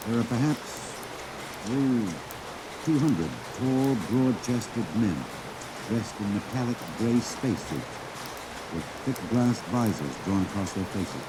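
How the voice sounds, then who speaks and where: An actor playing a fast-talking male radio announcer covering a parade in a 1950s radio drama. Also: lowish voice. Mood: hushed. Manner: fast showman patter, hushed and grave when solemn. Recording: old radio recording under loud rain noise.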